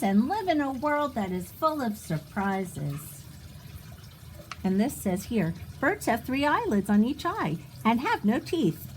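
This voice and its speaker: A woman with a high voice reads a rhyming children's book aloud. Her pitch swings widely in a sing-song delivery, and she takes her time, adding small asides for her young listeners. A light ambient noise sits behind her. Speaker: children's storybook reader.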